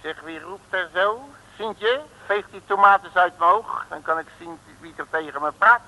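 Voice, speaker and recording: A man's voice: sits high, normal speed, pushed and bright, poor audio. A man playing a cocky, boastful detective in a 1950s comic radio serial, blustering in colloquial banter. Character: cocky, boastful male detective, brash and cheeky. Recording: vintage radio drama recording.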